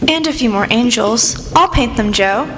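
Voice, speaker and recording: A woman's voice: a little high, animated, moderate pace, fairly bright tone. A young woman playing a vain, stubborn youngest sister in a radio play, girlish and petulant. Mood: airy, proud. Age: teens or young adult. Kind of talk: student radio play acting. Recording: reverberant hall.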